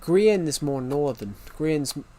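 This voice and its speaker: A man's voice: a little high, expressive, a little slow, mellow. A British man with a West Country accent, a streamer chatting casually with his viewers. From Great Britain. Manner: rambling, restarts and repeats phrases. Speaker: game streamer.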